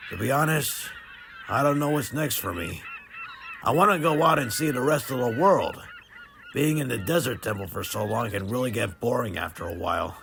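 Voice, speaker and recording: A man playing an eager, earnest temple guardian in an audio drama, excitable in manner. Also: medium pitch, moderate pace, vocal fry, noisy background. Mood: wistful. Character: eager temple guardian longing to see the world.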